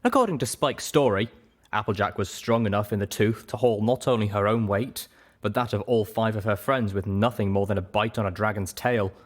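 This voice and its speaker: Low-voiced man narrating a story. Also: fairly quick. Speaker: story reader. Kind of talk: scripted first-person fiction narration.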